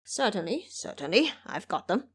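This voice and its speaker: A woman's voice: medium pitch, very animated, moderate pace, breathy edge. A woman voicing a kindly, very old village man with a deferential, wondering manner in an audio drama. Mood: obliging.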